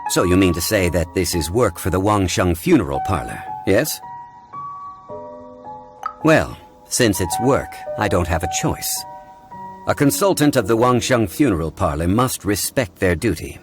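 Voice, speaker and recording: Dignified game scholar, very low pitch, expressive intonation, formal, measured, calm, background music. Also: male voice. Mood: composed, courteous. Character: calm, learned, dignified man, a history consultant. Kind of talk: scripted video game dialogue. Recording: music under the voice.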